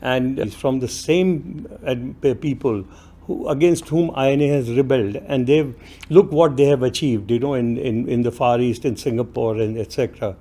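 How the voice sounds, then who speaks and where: A man speaking in a documentary interview, halting with frequent fillers and restarts. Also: medium pitch, mellow. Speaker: interviewee historian.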